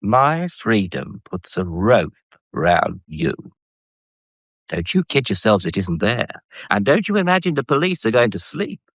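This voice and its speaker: An actor playing an insolent, scheming English blackmailer in a mystery radio drama, talking with smug, deliberate menace. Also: male voice, lowish voice, sing-song, fairly bright tone, no room sound, full character voice. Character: insolent, scheming male gallery employee turned blackmailer. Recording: dull, muffled radio drama recording.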